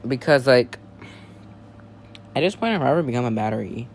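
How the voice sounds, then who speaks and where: Man playing a flustered emergency newsreader, swooping pitch. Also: expressive, moderate pace, close to an everyday voice. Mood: flustered, rambling. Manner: formal announcements lapsing into rambling. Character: flustered amateurish male emergency broadcast newsreader, easily panicked. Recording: noisy amateur home recording.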